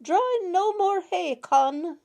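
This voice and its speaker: A woman's voice: extremely high pitch, animated, slow pace, creaky, darkish tone, pitched above the performer's own voice, full character voice. A woman voicing a stern, stubborn old farm grandmother, abrupt and commanding, in a storybook reading. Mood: flat, cross.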